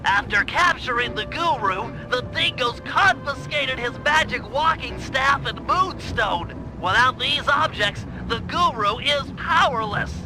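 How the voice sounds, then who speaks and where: A man's voice: very high-pitched, lively intonation, a little slow, few pauses, over music, rough recording. A man voicing a brainy, fussy cartoon turtle who plans missions in precise, wordy, theatrical speech for a video game. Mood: brisk briefing. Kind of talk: cartoon game briefing and dialogue.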